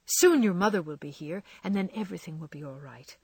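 A woman voicing a kind, loyal young man who talks gently and often drops to a whisper. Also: lowish voice, expressive, moderate pace, no echo. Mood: reassuring.

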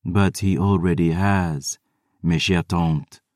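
A man's voice: low-pitched, steady tone, takes it slowly, darkish tone, no room sound, studio quality. A man voicing a young vampire woman who is cold, defiant and bent on vengeance.

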